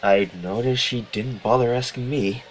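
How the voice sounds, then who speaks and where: A man playing a cocky, image-conscious high school boy in an audio drama, glib and self-assured. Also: fairly even intonation, moderate pace, slight background noise, natural voice, rough recording. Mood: self-satisfied.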